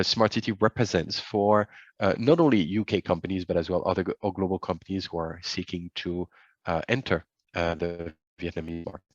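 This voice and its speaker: A man presenting a report over a webinar, speaking haltingly with many fillers and restarts, on dull video call audio. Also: sits low, dry recording.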